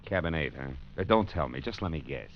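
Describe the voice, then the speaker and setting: A man's voice: extremely low pitch, animated, quick pace, slight background noise. An American actor playing a world-weary, wisecracking male private detective in a hard-boiled, sardonic old radio drama style. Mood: resigned, sardonic. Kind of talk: 1940s-50s American radio detective drama acting and narration. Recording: dull vintage radio recording, loud music behind.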